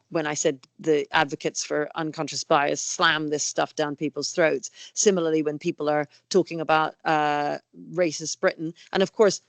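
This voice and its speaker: A woman's voice: deep voice, no room sound. Talkative woman with a dry, close sound. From Canada. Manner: animated, talkative, many fillers and restarts. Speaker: school headteacher, guest. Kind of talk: conversational interview.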